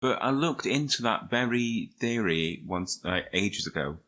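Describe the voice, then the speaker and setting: A man with a lowish voice that has a bright, forward edge. He chats as a podcast co-host, offhand and informal, with a jokey streak. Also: expressive, unhurried. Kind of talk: casual chat.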